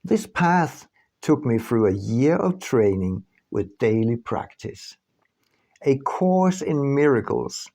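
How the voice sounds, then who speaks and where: A male author narrates his own book in a slow, deliberate way. His voice is soft-edged and dark, with intonation that moves widely up and down. He sets phrases apart with dramatic pauses. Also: on the high side. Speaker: author reading own audiobook. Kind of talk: scripted audiobook narration.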